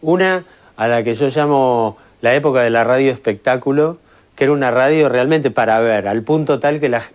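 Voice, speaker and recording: A man's voice: medium pitch, moderate pace, smooth. A man with an Argentine accent explaining methodically in an interview, like an expert. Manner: methodical, didactic. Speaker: radio history expert. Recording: slightly dull lo-fi sound.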